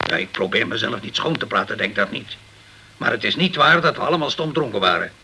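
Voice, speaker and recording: An actor playing a guilt-ridden, hard-drinking, middle-aged ship's captain in a radio crime drama, halting and defensive, stumbling over his words. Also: fairly low pitch, animated, pushed and bright, husky, full character voice.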